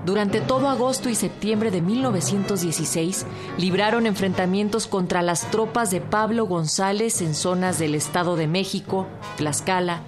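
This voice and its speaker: A woman's voice: medium pitch, normal speed. A woman with a Mexican accent giving scripted narration for a historical radio drama. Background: music.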